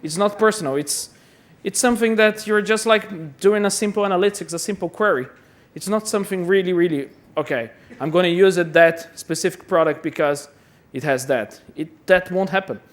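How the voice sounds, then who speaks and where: A man's voice: high voice, moderate pace, few pauses. A man from Brazil giving a chatty, self-deprecating conference talk, often repeating words as he goes. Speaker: conference speaker. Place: conference hall.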